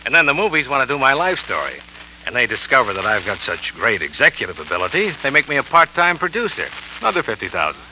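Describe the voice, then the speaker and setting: An actor playing a smooth-talking, scheming salesman who narrates his own story in 1940s radio drama style, boastful and quietly cold. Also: mid-range voice, big pitch swings, fast, a little hiss or hum, natural voice. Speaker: narrator and lead character. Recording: dull old radio recording with music.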